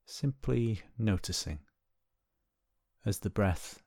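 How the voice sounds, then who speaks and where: Low-voiced man guiding a meditation. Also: expressive, slightly rough, no echo, studio quality. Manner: gentle, unhurried instruction with pauses. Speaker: meditation instructor. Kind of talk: guided body scan meditation.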